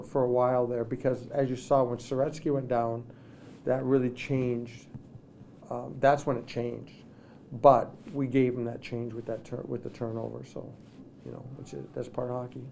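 Middle-aged guy coaching hockey, postgame presser, mellow kinda dark voice, keeps restarting, faint hum behind. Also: mid-range voice, creaky. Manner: halting, many restarts and "you know". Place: press room with sponsor backdrop. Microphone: table microphones in front.

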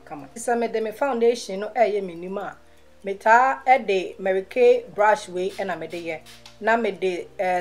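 A woman in her thirties from Ghana with a Ghanaian accent, talking casually through a makeup tutorial and repeating words for emphasis. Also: mid-range voice. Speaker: beauty vlogger. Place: small indoor room, seated in office chair.

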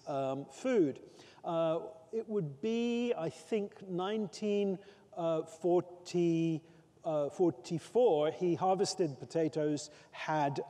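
A middle-aged man giving an academic keynote lecture from a lectern. He speaks in a thoughtful, measured way with frequent pauses. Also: on the high side, warm tone. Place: large conference hall, at a lectern. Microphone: lectern microphone. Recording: reverberant hall sound.

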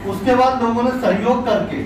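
A middle-aged man speaking as a religious community leader at a meeting, in deliberate, insistent phrases. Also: extremely high pitch, fairly even intonation. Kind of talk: public address at an administrative meeting. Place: crowded indoor meeting room. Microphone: distant camera microphone. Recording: echoey room, distant microphone, faint noise.

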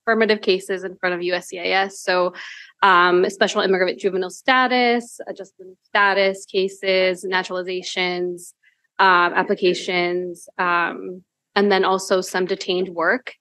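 A woman's voice: on the low side, steady tone, moderate pace, a bit bright, no echo. Woman attorney, dry close sound. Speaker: staff attorney. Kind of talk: panel introduction. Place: large law school hearing room.

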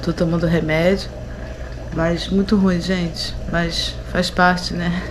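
A Brazilian woman in her thirties, hoarse from a sore throat, chatting to viewers from her phone at arm's length inside a house under renovation. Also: lowish voice, flat delivery, takes it slowly. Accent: Brazilian Portuguese. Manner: chatty, addresses viewers often. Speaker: vlogger. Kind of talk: casual home vlog. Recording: echoey room with clear background noise.

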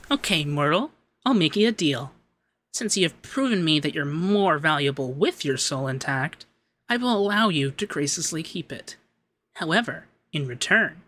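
A man voicing a haughty young femboy demon lord, pompous but easily flustered and secretly soft, in an ASMR roleplay. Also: fairly high pitch. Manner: pompous commands that slip into flustered cooing.

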